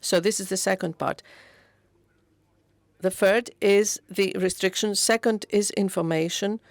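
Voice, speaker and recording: A woman interpreting a speech into English at a conference, steady but with frequent hesitations and self-corrections. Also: medium pitch, measured pace, no echo.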